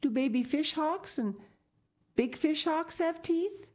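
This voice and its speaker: A woman voicing a small, curious and eager girl in a high, excited child voice. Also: slow pace.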